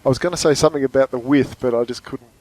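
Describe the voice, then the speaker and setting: A man's voice: medium pitch, steady tone, very fast, darkish tone, rough recording. Australian man, laconic podcast guest. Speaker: guest co-host. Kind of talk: casual podcast chat.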